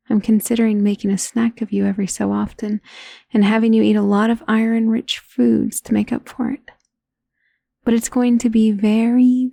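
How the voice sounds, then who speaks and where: A woman playing an ancient, doting, genteel female vampire in an intimate ASMR roleplay, speaking softly and tenderly, often in a whisper. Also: fairly high pitch, dry recording. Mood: attentive, doting. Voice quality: soft, breathy, often dropping to a whisper. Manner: slow, tender, teasing, genteel endearments. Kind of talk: ASMR roleplay, soft intimate acting to the listener.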